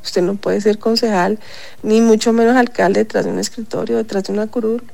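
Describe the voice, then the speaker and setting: A woman's voice: medium pitch, fairly even intonation, brisk, vocal fry, warm tone, no echo. A woman, a city councillor, speaking emphatically in a radio interview with a congested, stuffy voice. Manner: emphatic, insistent, repeats points.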